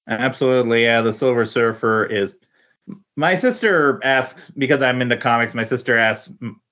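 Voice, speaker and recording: A man's voice: mid-range voice, normal speed, a bit bright, muffled recording. A man chatting casually as a guest co-host on a podcast. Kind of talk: casual chat.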